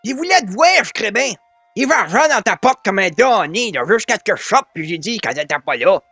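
Folksy old Quebec French granddad for radio comedy: high, creaky voice, exclamatory. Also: male voice, big pitch swings, a little fast, sharp tone, dry recording, soft background music, full character voice. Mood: friendly, chatty. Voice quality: raspy. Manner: colloquial, exclamatory. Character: folksy excitable old grandpa, war veteran.

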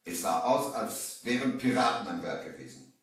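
A man's voice: mid-range voice, expressive, normal speed, lightly acted. A male actor playing a shaken merchant who dramatically narrates an eerie sea tale in a 1970s children's adventure radio play. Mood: grave, suspenseful. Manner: dramatic, storytelling. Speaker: narrator and lead in an audio drama. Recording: echoey, distant 1970s vinyl record.

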